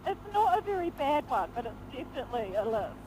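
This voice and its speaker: Giggly high-voiced woman on a road trip. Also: fast talker, low-quality recording. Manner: giggly, animated storytelling. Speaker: vlogger. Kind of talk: casual storytelling chat. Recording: road noise behind.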